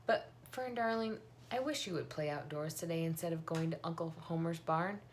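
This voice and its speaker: A woman's voice: low voice, normal speed, slight background noise, natural voice. A woman reading the part of a worried, practical farm mother in a storybook, fretful and questioning. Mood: concerned.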